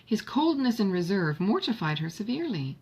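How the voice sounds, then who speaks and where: A woman narrating a classic novel as an audiobook reader, in an expressive scripted literary style. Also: medium pitch, brisk, husky.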